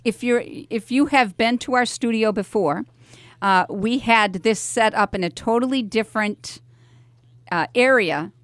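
A woman's voice: a little high, a little slow, dry recording. A woman radio host chatting casually and informally with guests in the studio, encouraging them as she goes.

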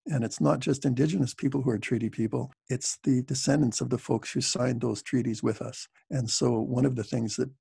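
A Canadian man, a scholar, giving thoughtful, measured answers in an interview. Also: medium pitch, fairly even intonation, vocal fry, warm tone, husky, dry recording. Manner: thoughtful, measured, frequent "frankly". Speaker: guest scholar.

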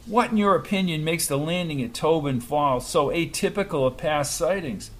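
A man's voice: a little high, moderate pace, a bit bright, close to an everyday voice. Man reading as a blunt, sarcastic reporter guy, pushy and combative. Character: aggressive male journalist, sarcastic and combative.

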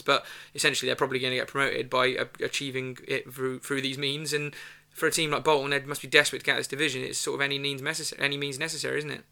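Male football podcaster, rapid and sharp, slightly croaky, rambling and self-correcting. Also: mid-range voice. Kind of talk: casual football chat.

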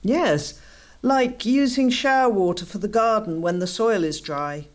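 A woman's voice: medium pitch, measured pace, close to an everyday voice. A woman playing an earnest townswoman campaigner in a community radio play, speaking in declarative scripted lines. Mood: resolute. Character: earnest townswoman urging care for water.

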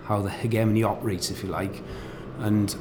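Low-voiced man, reflective co-host, measured with fillers; faint background hiss. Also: flat delivery, darkish tone. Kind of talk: casual academic discussion.

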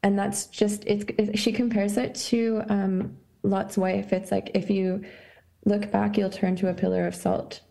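Husky-edged mellow woman talking thoughtfully. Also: medium pitch, little pitch movement. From Canada (Saskatchewan). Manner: thoughtful, halting, lots of likes and ums. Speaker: artist guest. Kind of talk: casual conversational interview.

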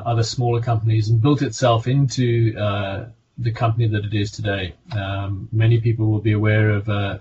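A man with a South African accent answers from his countryside home over a video call. His voice sits low and he moves carefully, often hesitating and restarting. The audio is dull and lo-fi, with a faint hum in the room. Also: darkish tone. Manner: measured, many hesitations and restarts. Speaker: pharma medical director guest. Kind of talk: remote interview answers.

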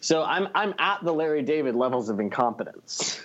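A man's voice: on the high side, lively intonation, fairly quick, fairly bright tone, muffled recording. A man talking casually with friends as a podcast co-host, with a wry, self-deprecating manner and some swearing. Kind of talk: casual chat among friends.

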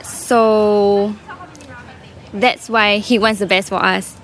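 A young woman from Singapore tells a personal story to a live audience. She speaks candidly, pausing on fillers and restarting her sentences, at an ordinary mid pitch. A faint hum of the room sits behind her voice. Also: level tone. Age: young adult. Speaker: student storyteller.

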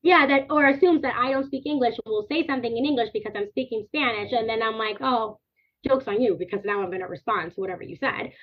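A woman's voice: on the high side, quick pace, husky. A woman in her thirties, born in the Dominican Republic, speaking earnestly as a podcast guest in long, flowing stretches of thought. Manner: earnest, animated, talks in long chains of clauses. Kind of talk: podcast interview. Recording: tinny phone-like line.

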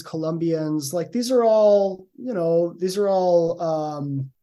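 Middle-aged male academic guest, mellow tone, hedging and thoughtful. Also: on the high side. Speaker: guest, linguistics professor. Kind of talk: conversational interview answers.

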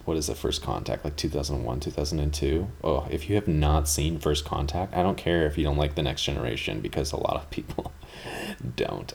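A man's voice: rumbling low, vocal fry, slight background noise. A man in his thirties from Canada, hosting a podcast in a casual, rambling monologue full of fillers and asides. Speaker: podcast host.

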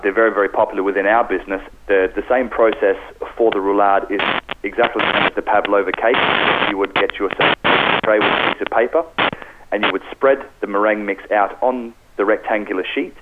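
A man, a pastry specialist, explaining a recipe step by step and often repeating words. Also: fairly low pitch. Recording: noisy crackling phone line.

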